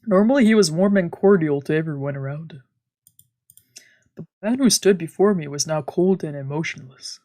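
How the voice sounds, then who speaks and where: A husky-voiced woman streamer narrating a visual novel, with casual commentary. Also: low voice, moderate pace, dark tone. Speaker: let's play streamer.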